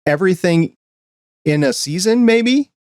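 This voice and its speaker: A man's voice: high voice, lively intonation, unhurried, a little mellow, no room sound. Curious male podcast host, dry sound. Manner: friendly, curious questioner. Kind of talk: casual interview chat.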